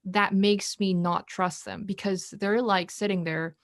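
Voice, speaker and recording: A woman whose first language is Korean, hosting a casual chat over a video call and thinking aloud with many restarts and pauses. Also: mid-range voice, steady tone, dry recording, clean studio sound. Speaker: podcast host. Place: indoors in Korea.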